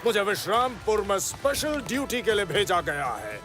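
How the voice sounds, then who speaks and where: A man's voice: sits high, very animated, moderate pace, over music. A man playing a bossy, exasperated, self-important police officer in a Hindi cartoon. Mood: officious. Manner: bossy, scolding. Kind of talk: exaggerated Hindi cartoon voice acting.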